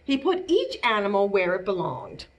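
An American woman in her thirties reading a picture book aloud to children as a librarian, in an expressive, clearly paced way. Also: medium pitch, moderate pace. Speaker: children's librarian and storytime reader. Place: small bright indoor room.

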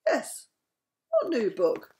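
A woman's voice: sits high, huge pitch swings, extremely slow, mellow, voice pushed up, heavily acted. An older woman voicing a sceptical, pedantic cat in a picture book. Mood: doubtful. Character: sceptical grumpy cat, storybook.

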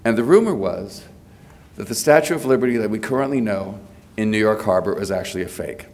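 Low-voiced man lecturing with a cold. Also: lively intonation, brisk, a little mellow. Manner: hesitant, many fillers and restarts. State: has a cold. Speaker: lecturer giving a presidential address. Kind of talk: academic lecture. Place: large hotel ballroom. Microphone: podium microphone.

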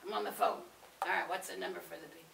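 Woman doing a gravelly, goofy pirate. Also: on the low side, fairly even intonation, rapid-fire, a bit bright, slight background noise. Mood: pleading, smitten. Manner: growling, blustery, comic pirate talk. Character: goofy, lazy, lovesick male pirate. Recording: echoey room, distant mic.

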